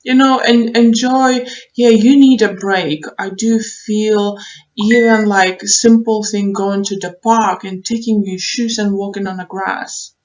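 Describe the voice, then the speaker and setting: Slow-paced woman giving a tarot reading. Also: mid-range voice, fairly even intonation, a little mellow, muffled recording. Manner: halting, repeats phrases, thinks aloud. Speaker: tarot reader, online show host. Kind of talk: unscripted tarot reading, advice to viewers.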